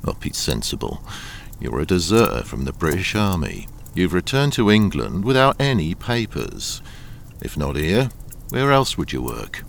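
A male actor as a menacing smuggling boss from a radio crime drama. His low voice swoops widely as he patronizes and threatens with smooth politeness. There is faint noise behind him. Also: very animated, normal speed, full character voice. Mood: coolly coaxing. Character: suave menacing smuggling boss, coolly blackmailing a deserter.

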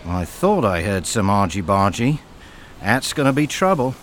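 An actor playing a friendly, gossipy working-class smuggler with a matey, chatty way of talking, in a radio drama. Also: male voice, on the low side, big pitch swings, normal speed, slight background noise. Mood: matey, wry.

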